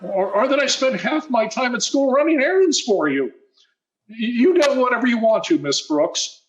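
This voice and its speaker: A man's voice: very high voice, very animated, normal speed, low-quality recording. A man playing a wheedling teenage schoolboy in old-time radio sitcom style, stammering and mock-modest. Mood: wounded, wheedling. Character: wheedling teenage schoolboy, eager, guileless-sounding schemer.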